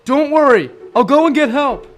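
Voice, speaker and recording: A woman playing an oblivious, excitable comic rescuer in an audio drama, calling out melodramatically. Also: high voice, normal speed, soft background music. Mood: eager, melodramatic.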